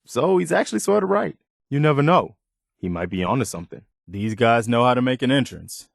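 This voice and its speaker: An American actor playing an earnest, principled young militia companion in a post-apocalyptic video game, speaking in a plain-spoken, measured way. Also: male voice, medium pitch, sing-song, vocal fry, no room sound, clean studio sound. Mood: conversational.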